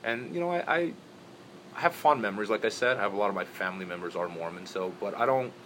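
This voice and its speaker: A man raised in Brazil talks quickly in a very deep voice, circling his points with restarts and fillers as an interview guest. There is clear background noise throughout the recording. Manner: rambling, many restarts and "you know". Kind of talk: casual interview talk.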